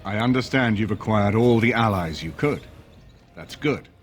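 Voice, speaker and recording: A man's voice: on the low side, animated, normal speed, close to an everyday voice. An actor playing a resolute, grave nobleman in a fantasy game, with a formal, stately delivery. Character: grave, resolute noble lord rallying allies. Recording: loud game music under the voice. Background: loud music.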